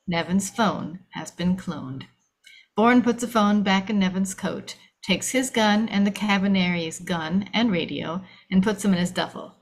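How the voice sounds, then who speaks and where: A woman narrating the stage directions at a screenplay table read, setting scenes in a dramatic way. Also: medium pitch.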